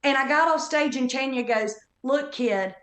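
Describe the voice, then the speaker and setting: A woman's voice: high voice, normal speed, roomy sound. A woman in her fifties with an Appalachian Southern accent, a comedian telling stories on a podcast in a chatty, perky way. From North Carolina Appalachians. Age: 50s. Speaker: comedian guest. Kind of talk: casual podcast chat.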